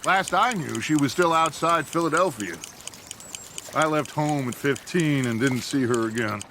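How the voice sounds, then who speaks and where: A man playing a charismatic, wistful middle-aged American outlaw gang leader in a Western video game, speaking in theatrical, deliberate phrases. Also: fairly high pitch, pushed and bright. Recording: water and boat noise behind.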